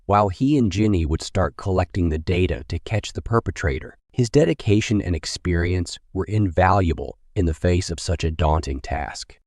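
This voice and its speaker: A man's voice: deep voice, expressive, moderate pace, few pauses, a little mellow, no room sound, clean studio sound. A man narrating a scripted crime story in a dramatic storytelling style.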